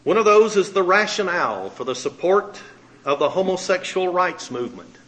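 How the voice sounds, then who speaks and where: Male reader, bit high voice, dramatic letter reading with lots of pitch movement. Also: lively intonation, moderate pace, fairly bright tone. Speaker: magazine editor and radio minister.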